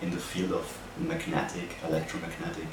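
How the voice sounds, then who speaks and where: A young man from Austria with an Austrian accent, a native German speaker, haltingly explaining a project as an art student. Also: low-pitched, monotone. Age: young adult. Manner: hesitant, halting delivery with searching pauses. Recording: very echoey, distant mic, noisy background.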